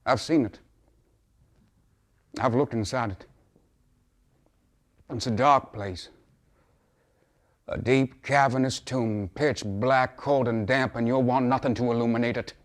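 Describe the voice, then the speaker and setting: A man acting a troubled, folksy father who pleads earnestly in a theatrical dramatic monologue, halting at times and impassioned at others. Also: mid-range voice, fairly even intonation, close to an everyday voice. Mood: haunted.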